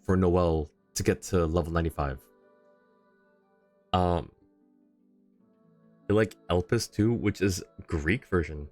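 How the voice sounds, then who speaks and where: Deep-voiced man livestreaming a game. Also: a little mellow. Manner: rambling, thinking aloud, reading chat. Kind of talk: casual livestream chat while playing a game. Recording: game music behind the voice. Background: video game music.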